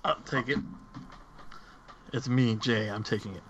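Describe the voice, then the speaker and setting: A man's voice: mid-range voice, unhurried. A man co-hosting a podcast and chatting casually about movies, on a lo-fi recording. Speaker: podcast co-host. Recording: muffled lo-fi track with background noise.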